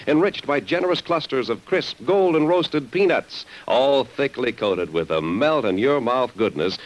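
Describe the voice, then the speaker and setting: A man's voice: mid-range voice, rough recording. A man delivering a 1950s radio commercial in emphatic, folksy sales patter.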